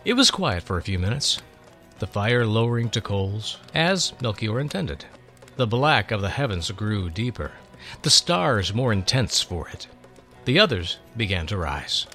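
A man's voice: fairly low pitch, very animated, measured pace, pushed and bright, faint music behind. A man narrating a scripted story in a dramatic reading style.

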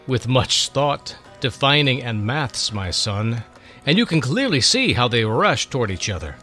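A man playing a kindly, patient old seer, measured and fatherly, in a dramatic storybook reading. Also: mid-range voice, big pitch swings, bright, forceful tone, soft background music. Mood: grand, patient.

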